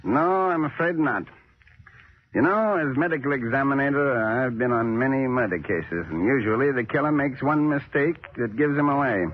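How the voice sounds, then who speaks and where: A man's voice: medium pitch, moderate pace, slight background noise, natural voice. A man playing a composed, polite medical examiner in 1940s radio mystery style, matter-of-fact in delivery. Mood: genial, composed. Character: courteous, composed male doctor and medical examiner. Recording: muffled vintage 1940s radio recording.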